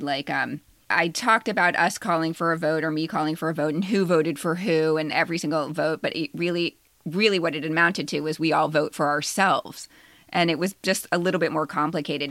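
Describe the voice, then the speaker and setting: Female writer and co-host, middle-aged, low-pitched, casual and halting, dry studio sound. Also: a bit bright, dry recording. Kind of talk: casual conversation about writing. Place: recording studio.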